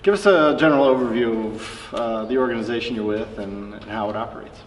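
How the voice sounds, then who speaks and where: A man interviewing conversationally, with halting, roundabout phrasing as he works toward his questions. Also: mid-range voice. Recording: echoey reverberant room, distant mic, faint noise.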